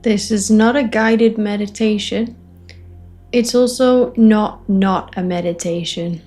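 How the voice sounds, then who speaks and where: A woman facilitating a guided meditation, offering gentle invitations in short phrases with long pauses between them. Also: mid-range voice, steady tone, faint music behind. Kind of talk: guided meditation, spoken softly to listeners.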